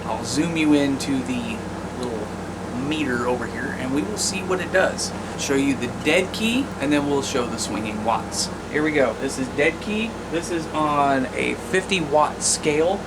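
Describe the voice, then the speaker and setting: A man's voice: mid-range voice, normal speed, very noisy. An American man giving a casual, plain-spoken hands-on gear demonstration as an informal presenter. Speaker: radio hobbyist presenter.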